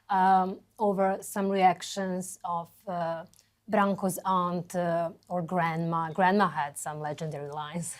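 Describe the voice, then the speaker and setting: A woman interviewer asking questions at a festival, slowly and haltingly, with many "uh" fillers. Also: mid-range voice. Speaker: interviewer, host.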